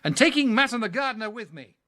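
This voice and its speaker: A man's voice: very high voice, animated, quick pace, sharp tone, heavily acted. A man playing a blustering, impatient, protective father in a children's audio drama. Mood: indignant. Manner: exclamatory, impatient, no-nonsense.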